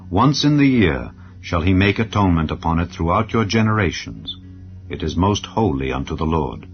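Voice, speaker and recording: A man narrating scripture as a dramatized audiobook, in a solemn, measured and formal delivery. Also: sits low, dull audio. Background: background music.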